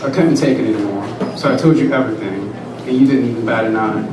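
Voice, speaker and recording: A man reading his own first-person prose aloud at a live reading, in a quiet, measured way. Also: mid-range voice. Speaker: student reader. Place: large room at a live reading. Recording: echoey room, far from the mic, noisy.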